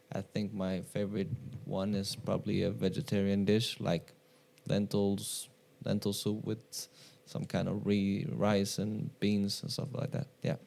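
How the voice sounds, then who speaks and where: Deep gravelly young man. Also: darkish tone. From Sweden. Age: 20s. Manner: thoughtful, rambling, with many fillers. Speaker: guest. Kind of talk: casual discussion.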